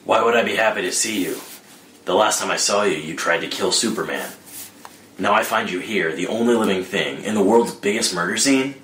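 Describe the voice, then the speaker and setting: Guy doing a grim distrustful vigilante hero, lowish forceful voice, terse and demanding, echoey noisy recording. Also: fairly quick, lightly acted. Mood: suspicious. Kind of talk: dramatic screenplay table read. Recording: roomy echo with noticeable background noise.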